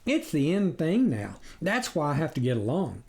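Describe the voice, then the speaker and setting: A man's voice: on the high side, wildly swooping pitch, normal speed, creaky, darkish tone, full character voice. A man voicing a sly storybook coyote who passes himself off as a duck with glib, folksy sales patter. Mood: eager, scheming. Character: sly male coyote posing as a vegan duck. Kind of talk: storybook character reading.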